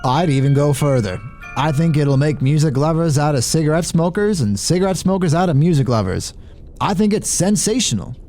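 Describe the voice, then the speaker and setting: A man playing an obsequious, flattering shop clerk in a radio play, with a gushing manner. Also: on the high side, brisk, soft background music, natural voice. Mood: fawning.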